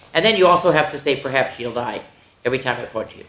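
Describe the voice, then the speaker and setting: A woman hosting a children's story time, reading a picture book aloud expressively, with exclamations and asides to the young listeners. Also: very deep voice. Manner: expressive, exclaiming, pausing to comment and ask the listeners. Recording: echoey room.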